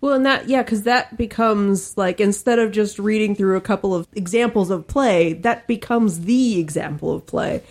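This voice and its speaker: A woman's voice: medium pitch, normal speed, few pauses, a little mellow. Woman thinking aloud on a podcast. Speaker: podcast co-host. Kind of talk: casual panel discussion.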